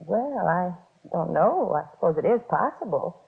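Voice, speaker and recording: A woman's voice: fairly low pitch, sing-song, normal speed, creaky, slightly rough, heavily acted. An actress playing a middle-aged housewife in a radio police drama, polite but uneasy and hesitant about her husband. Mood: puzzled, uneasy. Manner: hesitant, fretful. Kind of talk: 1950s radio drama acting. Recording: muffled, band-limited old radio recording.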